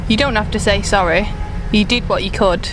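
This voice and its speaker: A woman playing a calm, gentle dream figure in an audio drama, soft-spoken and understated. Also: mid-range voice, moderate pace, lightly acted. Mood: gentle, wistful. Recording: noisy outdoor background with traffic.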